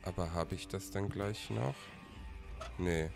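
A man's voice: deep voice, slow, gravelly, noisy background. A man in his thirties with a cold, chatting casually to viewers while playing a game. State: has a cold. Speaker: let's player. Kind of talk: casual gameplay commentary. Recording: voice over game music.

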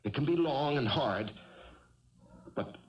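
An actor playing a weak, evasive ex-convict husband who pleads and stammers, in 1970s radio drama style. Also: male voice, medium pitch, lively intonation, slow. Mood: anxious, pleading. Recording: muffled vintage radio broadcast.

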